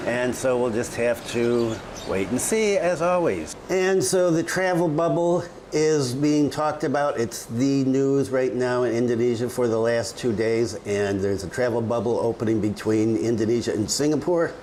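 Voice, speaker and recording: A man's voice: medium pitch, moderate pace, hardly any pauses, darkish tone, a little hiss or hum, rough recording. A man hosting a casual news update video, reading figures and articles aloud and adding his own chatty asides. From lives in Bali. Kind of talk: casual spoken news roundup.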